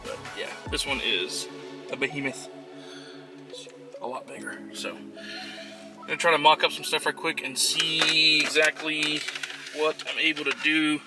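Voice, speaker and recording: A man's voice: on the high side, unhurried, long pauses, loud music behind the voice. Man thinking aloud in a casual how-to. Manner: informal, thinking aloud while working. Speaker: vlogger and trucker showing a DIY install. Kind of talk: casual how-to vlog chat.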